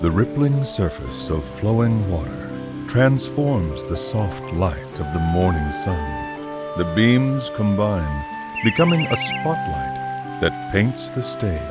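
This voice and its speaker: A poetic game narrator with a very deep voice and lively intonation. He speaks in solemn, measured storybook style over loud music and running water. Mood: lyrical. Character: poetic male storyteller setting a pastoral scene.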